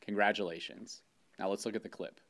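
A man's voice: fairly low pitch, fairly even intonation, brisk, fairly bright tone. A man in his thirties reading a scripted award announcement as a presenter. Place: indoor studio with curtain backdrop.

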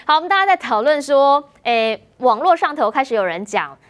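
A woman's voice: high-pitched, sing-song, a little fast, a bit bright. A woman news anchor with a Taiwanese accent, presenting and discussing the news. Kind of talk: live news presenting and interviewing.

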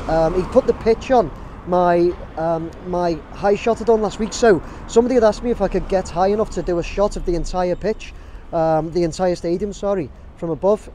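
A man's voice: high voice, warm tone, slight background noise. Rambling man vlogging on the street. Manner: rambling, many fillers and restarts. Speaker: drone videographer. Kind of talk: casual vlog to camera. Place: outdoors on a city street. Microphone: camera held at arm's length.

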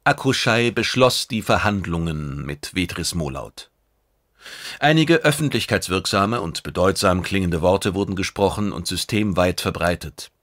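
A man reading a science fiction novel aloud, with measured, dramatic narration. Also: low voice, lively intonation, fairly bright tone, no echo, studio quality. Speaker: audiobook narrator.

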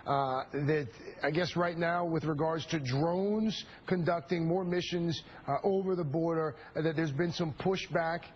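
Probing male radio host, fairly high voice. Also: unhurried, few pauses. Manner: probing, hesitant with fillers. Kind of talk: broadcast interview. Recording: dull lo-fi broadcast audio, faint hiss.

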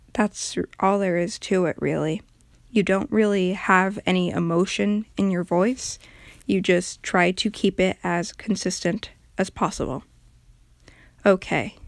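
A woman voicing a solemn, intimidating religious zealot in a low, quiet, emotionless monotone with measured delivery. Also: vocal fry, dry recording, clean studio sound.

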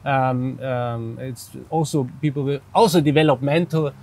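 A man in his forties from Austria, speaking English with an Austrian German accent as a psychologist guest, measured and careful with many fillers, outdoors by a pool. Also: medium pitch, steady tone, darkish tone. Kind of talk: interview answers.

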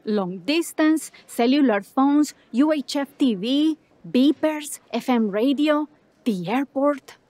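Woman acting high-voiced office clerk. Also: expressive, slow, mellow, dry recording. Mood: matter-of-fact. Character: matter-of-fact Mexican woman telegraph office clerk.

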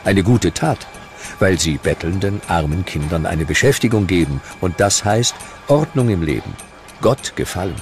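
A man narrating a scripted documentary with dramatic, deliberate storytelling. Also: low voice, expressive, faint music behind.

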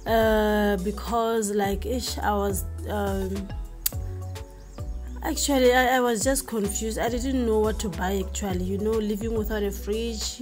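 A woman's voice: medium pitch, a little mellow. A woman chatting casually and hesitantly while she cooks in her home kitchen, with many fillers as she talks to viewers. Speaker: vlogger cooking for her family. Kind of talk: casual cook-with-me narration.

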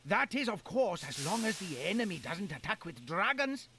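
A man's voice: high voice, big pitch swings, normal speed, bright, forceful tone, a little hiss or hum, full character voice. An actor playing a pompous old male gnome commander in a game, blustery and theatrical.

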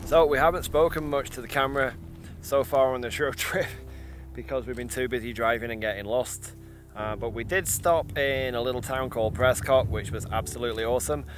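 A man's voice: mid-range voice, a little fast. Man in his 30s, loose rambling travel-vlog delivery to camera, outdoors in the wind, music underneath. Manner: chatty, rambling, with little laughs. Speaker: vlogger. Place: outdoors in a windy open field.

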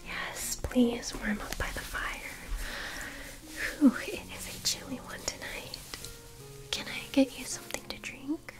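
A woman in her twenties whispering gently as a kindly, attentive medieval innkeeper in an ASMR roleplay, in a soft breathy voice. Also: sits high. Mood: gentle, welcoming. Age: 20s. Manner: gentle, unhurried, solicitous. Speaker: ASMR performer. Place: small dim candlelit indoor set. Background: music.

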